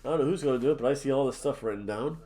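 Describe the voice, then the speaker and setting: A man's voice: medium pitch, very fast, dark tone. Sniffly nasal man rambling casually. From New Jersey. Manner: rambling, joking, drinking while talking. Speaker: podcast co-host. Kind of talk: casual chat.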